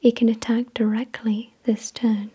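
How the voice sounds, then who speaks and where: A woman whispering in a slow, deliberate, soothing way, reading aloud in ASMR style with a breathy, unvoiced delivery. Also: a little high, flat delivery, mellow. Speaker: ASMR video host.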